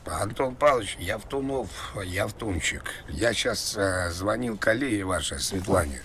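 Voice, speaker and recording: Rambling low-voiced stranded driver played by a man. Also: animated, unhurried, a bit bright, slight background noise. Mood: rambling, worried. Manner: rambling, repetitive, hesitant. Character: rambling confused stranded male driver, a father. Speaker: prank caller, game streamer. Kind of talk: prank phone call.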